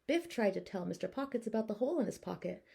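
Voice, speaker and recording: A woman with a fairly high voice reads a children's picture book as a teacher would. Her intonation is very lively, and her phrasing is deliberate and expressive. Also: gravelly, slightly rough. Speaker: teacher reading aloud. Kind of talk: children's storybook narration.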